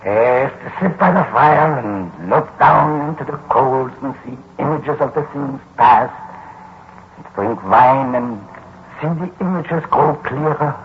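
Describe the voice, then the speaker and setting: An actor playing a lonely, courteous old German man in a 1940s radio drama, speaking haltingly and wistfully with a German accent. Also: medium pitch, lively intonation. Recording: muffled, noisy 1940s radio recording.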